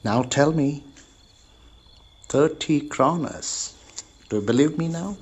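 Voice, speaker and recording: Man acting a husky, lively old crofter. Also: a little high, slow pace, exaggerated voice. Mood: excited, confiding. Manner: effusive, chatty, repeats himself. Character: lonely, hospitable, talkative old crofter, eager to confide. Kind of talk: amateur radio drama acting.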